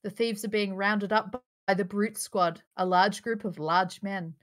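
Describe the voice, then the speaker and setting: A woman's voice: mid-range voice, fairly even intonation, unhurried. A woman reading out screenplay stage directions as narrator in a live table read over a video call. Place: indoors, on a group video call.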